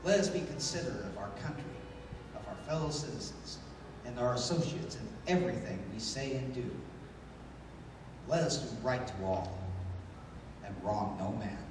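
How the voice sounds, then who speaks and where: An actor playing a calm, commanding, idealistic adventure hero in 1930s radio style, speaking in a formal, measured, declamatory way. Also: male voice, mid-range voice, natural voice. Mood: solemn. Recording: echoey hall from far off, background noise.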